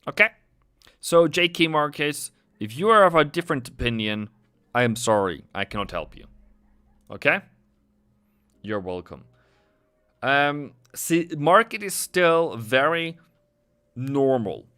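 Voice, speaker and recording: Bright-voiced man hosting a trading livestream. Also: medium pitch, animated, measured pace, long pauses, clear, resonant tone. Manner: chatty, sarcastic, jokey with viewers. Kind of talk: casual livestream chat.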